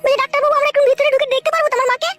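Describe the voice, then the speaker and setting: Cartoon daughter-in-law, acted by a woman: young, caring, fretful, extremely high voice, music underneath. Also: fairly even intonation, very fast. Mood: concerned. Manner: urging, soothing. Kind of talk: village comedy cartoon dubbing. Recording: music behind the voice.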